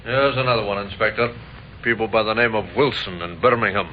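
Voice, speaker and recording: An actor playing a respectful, matter-of-fact British police sergeant in an old-time radio crime drama. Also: male voice, on the low side, fairly quick, lightly acted. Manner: plain, deferential, clipped reporting. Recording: muffled, noisy old radio recording.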